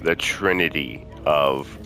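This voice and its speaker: A man's voice: extremely low pitch, takes it slowly, music in the background. A man hosting a gaming video with casual, chummy, slangy commentary addressed to his viewers. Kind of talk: casual let's play commentary.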